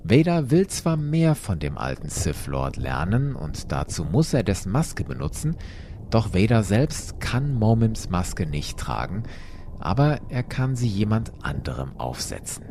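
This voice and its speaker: A man's voice: on the low side, big pitch swings, measured pace, noisy background. A man hosting and narrating a story in a dramatic, scripted style. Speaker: storyteller host.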